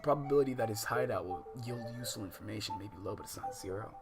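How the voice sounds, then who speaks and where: A man's voice: fairly low pitch, very fast, gravelly. Slangy swearing male livestreamer over game music. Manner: slangy, swearing, exasperated asides. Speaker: livestreamer playing a visual novel. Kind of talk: casual chat and reading game text aloud. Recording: music behind the voice.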